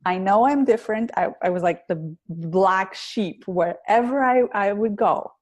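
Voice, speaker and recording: A woman's voice: medium pitch, unhurried, darkish tone. Woman, heavy Greek accent, podcast guest, earnest, thoughtful, some hesitations. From Greece. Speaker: guest, public speaking coach. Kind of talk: podcast interview.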